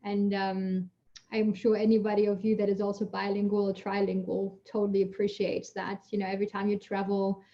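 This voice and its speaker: Lady scientist, chatty, lots of fillers, warm low-key tone, muffled call sound. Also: brisk. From Germany. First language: German. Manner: lively storyteller, chatty with many fillers, laughs while talking. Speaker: guest scientist. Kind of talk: casual Q&A answers.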